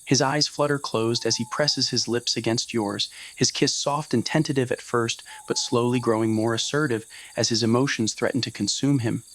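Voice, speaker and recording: A male narrator with a mid-pitched voice reads a scripted romance. The delivery is that of an ASMR roleplay story, told to the listener. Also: fairly even intonation, moderate pace, few pauses, a bit bright. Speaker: narrator of fan fiction audio roleplay.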